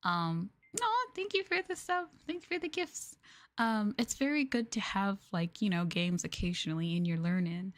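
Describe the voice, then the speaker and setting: An American woman streamer chatting casually and softly to her viewers in an expressive, ASMR-style live chat. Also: mid-range voice, moderate pace. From United States.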